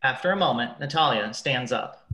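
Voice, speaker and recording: Very low-voiced woman narrator. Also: expressive, normal speed, fairly bright tone. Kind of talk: reading stage directions for a radio play. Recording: roomy, echoing sound.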